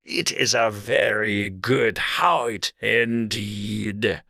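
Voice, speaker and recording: A narrator voicing a languid, easily offended caterpillar in a dramatized storybook, with terse, drawn-out, curt speech. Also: medium pitch, creaky, sharp tone, no echo, studio quality, heavily acted. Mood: indignant. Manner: very slow, drawling, terse and curt.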